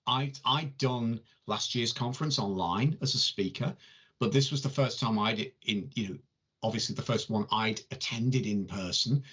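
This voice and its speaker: Chatty Liverpool woman, husky voice. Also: a bass voice, moderate pace, highs cut off. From North West England. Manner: chatty, calls people darling and love. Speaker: host. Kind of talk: casual chat.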